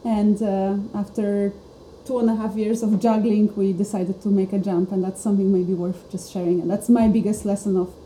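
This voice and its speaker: A woman's voice: mid-range voice, little pitch movement, normal speed, few pauses, mellow. A woman from Poland, a startup co-founder, speaking earnestly as a podcast guest. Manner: reflective, earnest. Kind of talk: casual podcast chat. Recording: roomy sound with faint noise.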